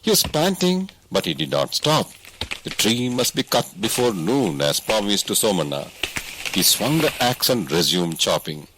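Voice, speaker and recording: A man's voice: lowish voice, big pitch swings, normal speed, background noise. A man narrating a scripted audiobook story as a reader.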